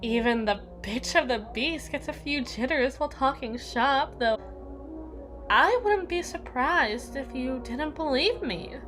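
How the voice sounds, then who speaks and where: A woman playing a jealous, menacing evil queen in an ASMR roleplay, regal and possessive, with theatrical, deliberate formal diction. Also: high voice, expressive, music in the background. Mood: silky, coaxing.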